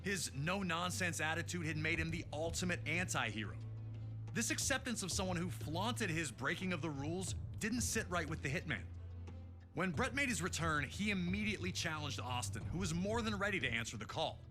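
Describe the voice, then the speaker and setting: A man's voice: a little high, expressive, a little fast, natural voice. A man narrating wrestling history in a dramatic, hyped announcer style, reading from a script. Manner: emphatic, hyped announcer delivery. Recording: music bed under the voice. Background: music.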